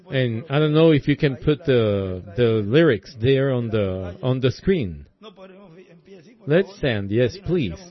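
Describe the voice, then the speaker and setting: A male preacher delivering a sermon in a hoarse voice, speaking in deliberate, halting phrases with many pauses. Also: mid-range voice, muffled recording.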